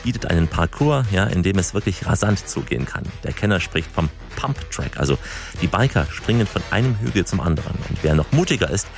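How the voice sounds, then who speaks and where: A man's voice: sits low, lively intonation, fairly quick, gravelly, faint music behind. A man from Germany, reporting and interviewing conversationally as a travel host.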